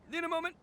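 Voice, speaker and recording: A man's voice: very high voice, pushed and bright, a little hiss or hum, heavily acted. A man voicing a brash young oni in a video game, blustering and dramatic in a goofy way. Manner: blustering, stammering, exaggerated.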